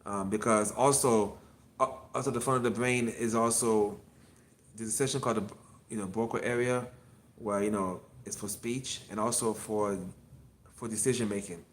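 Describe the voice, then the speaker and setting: A man's voice: on the low side, steady tone, normal speed, slight background noise. A man in his fifties, an acupuncture physician, talking casually on a solo livestream from his clinic, rambling and repeating himself as he answers viewers. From Miami. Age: 50s.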